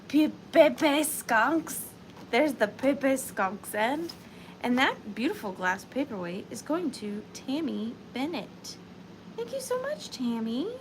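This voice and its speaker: A woman hosting a live vintage sale from her home, talking softly and chattily, sometimes dropping to a whisper. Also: high voice, a little hiss or hum, poor audio. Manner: soft, slow and chatty, drifting into whispers. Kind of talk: live auction selling chat. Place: home studio with ring light.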